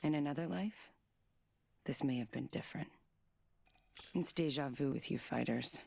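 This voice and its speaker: A woman voicing a cool, stealthy, menacing fighter in a video game, in measured, taunting lines. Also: deep voice, creaky, dark tone. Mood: calm menacing.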